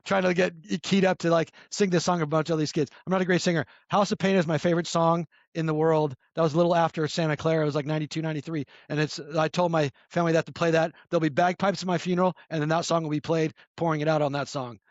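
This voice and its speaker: A raspy-voiced man in his fifties, a podcast guest telling rambling stories with lots of restarts and self-corrections. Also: a little high, fairly even intonation, quick pace, few pauses, no echo, lo-fi sound. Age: 50s. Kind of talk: casual storytelling chat.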